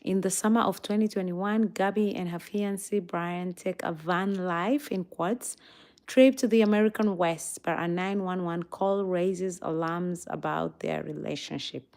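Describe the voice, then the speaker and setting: A woman's voice: fairly low pitch, steady tone, unhurried, a little mellow. A tired young woman talking casually on a livestream, greeting viewers and reading out their comments.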